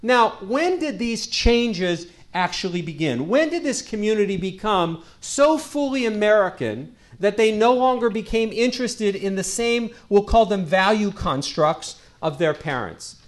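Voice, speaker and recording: A middle-aged man, a rabbi, giving a lecture to a community audience into a handheld microphone, with a loud voice and a habit of repeating key lines for emphasis. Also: sits high, animated, unhurried, hardly any pauses. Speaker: rabbi and guest speaker.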